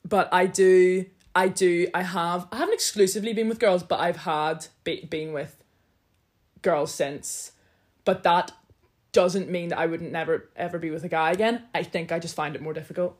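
A young woman with a slightly deep voice, co-hosting a casual chat and thinking aloud with frequent restarts. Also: steady tone, moderate pace. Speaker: podcast co-host.

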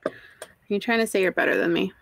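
A young woman with a Texan accent hosting a casual podcast chat, self-mocking and quick to laugh. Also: mid-range voice, vocal fry, a bit bright, dry recording. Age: young adult. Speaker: podcast host.

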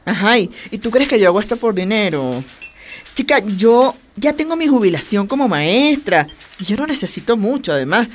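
Actress as passionate Venezuelan feminist leader, lively voice. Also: female voice, medium pitch, moderate pace, slight background noise, natural voice. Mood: friendly, frank. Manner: colloquial, emphatic, earnest. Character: passionate middle-aged Venezuelan feminist leader and retired teacher. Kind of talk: radio drama acting.

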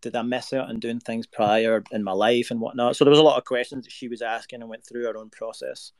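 Scottish man, gravelly, flat delivery, dry close sound, reflective rambling chat. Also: mid-range voice, brisk, no room sound. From Scotland. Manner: earnest, reflective, rambling with fillers. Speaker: guest singer-songwriter. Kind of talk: casual interview chat. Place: home recording space in Nashville.

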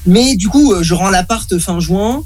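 A young man, a student, chatting casually and complaining in a fast, animated way. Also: high-pitched, soft background music. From Baden-Württemberg, Germany. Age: young adult. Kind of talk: casual chat.

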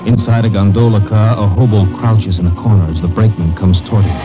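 Male narrator, fairly low and factual, vintage radio crime delivery with music underneath. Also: steady tone. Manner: measured, factual. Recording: dull old radio recording, music behind.

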